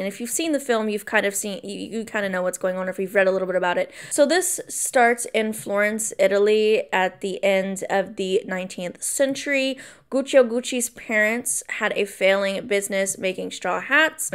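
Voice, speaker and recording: A woman's voice: medium pitch, clean tone. A young woman in her twenties casually reviewing a film from her bedroom, rambling with lots of ums, asides and swearing. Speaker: film vlogger. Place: bedroom at a desk, indoors.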